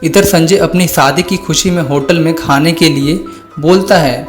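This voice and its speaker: Male storyteller for scripted horror narration, slightly high pitch, soft music bed. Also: normal speed.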